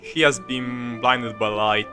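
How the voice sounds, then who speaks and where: A man casually commenting on a live game stream, halting and jokey, quick to react to what happens. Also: mid-range voice, fairly even intonation, music in the background.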